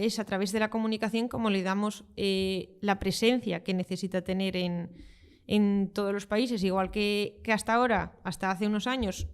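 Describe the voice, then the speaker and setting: A young woman talks through her translation work in an easy, conversational way. Her voice is smooth and clear, at a middle pitch. She pauses on fillers while she gathers her thoughts. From Spain. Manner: relaxed, explanatory, with frequent fillers. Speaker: guest, football translator. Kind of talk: conversational interview answers.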